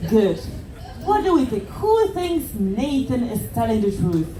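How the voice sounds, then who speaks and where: A woman's voice: fairly high pitch, big pitch swings, warm tone. Upbeat woman hosting a stage game show. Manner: brisk, upbeat, prompting contestants and audience. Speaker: game show host on a cruise ship. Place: large ship theater with live audience. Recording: echoey theater picked up from far off. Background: audience chatter and applause.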